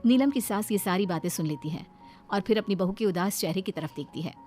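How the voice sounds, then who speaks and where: A woman's voice: mid-range voice, brisk, dark tone. An Indian woman narrating a scripted bedtime story as a storyteller. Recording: background music under the voice.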